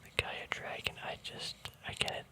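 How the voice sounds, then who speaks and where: Guy whispering asmr style, breathy and chill, just rambling along thinking out loud. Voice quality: soft breathy unvoiced whisper. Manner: relaxed, unhurried thinking aloud. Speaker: ASMR video creator. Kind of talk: whispered ASMR, casual rambling card showcase.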